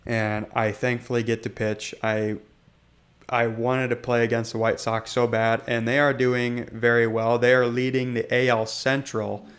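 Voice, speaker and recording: Young male gaming host, raspy and hoarse, loose rambling delivery, mid pitch. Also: little pitch movement, measured pace, fairly bright tone. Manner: rambling, hesitant, lots of ums. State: hoarse from illness. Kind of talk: casual gameplay commentary.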